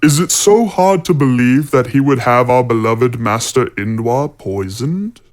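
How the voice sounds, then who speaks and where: An actor playing a middle-aged warrior-monk villain in a fantasy audio drama, calm, persuasive and condescending as he preaches. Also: medium pitch, measured pace, natural voice. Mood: smooth, persuasive.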